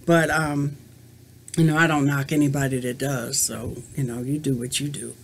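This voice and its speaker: A woman's voice: extremely low pitch, level tone, moderate pace, creaky, slight background noise. A woman in her fifties answering tag questions in a casual, rambling, reflective way, talking to a webcam in a small room at home. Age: 50s. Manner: rambling and reflective, many "you know" fillers. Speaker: crochet vlogger answering a tag. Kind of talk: casual chat to camera. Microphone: webcam at desk distance.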